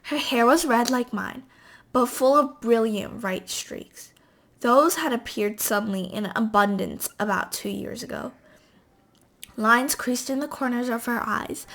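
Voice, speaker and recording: A woman reading a novel aloud as an amateur, halting at times as she stumbles over words and corrects herself. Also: a little high. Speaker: amateur reader.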